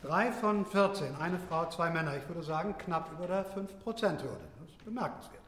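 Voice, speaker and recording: An actor playing a wordy, probing seminar lecturer in a radio drama, with an academic, halting manner. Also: male voice, fairly high pitch, a bit bright, close to an everyday voice. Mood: analytical, measured. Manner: academic, wordy, hesitant pauses. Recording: echoey room with faint noise.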